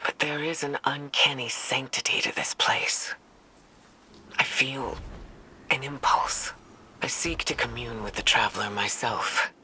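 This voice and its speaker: A woman acting a grave, scholarly mystic warrior in a game cutscene, speaking formally and with quiet reverence. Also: extremely low pitch, in a whisper, heavily acted, low-quality recording. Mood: reverent, yearning. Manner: measured, formal, solemn. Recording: faint background ambience.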